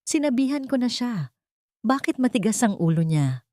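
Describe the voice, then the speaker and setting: A woman's voice: on the high side, sing-song, normal speed, dark tone, no room sound, clean studio sound, voice pushed up. A woman voicing a stern, wealthy man in an audio drama, firm and indignant in his questioning. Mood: stern anger. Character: stern, angry wealthy man, disciplinarian boss. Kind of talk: dramatic audio story dialogue.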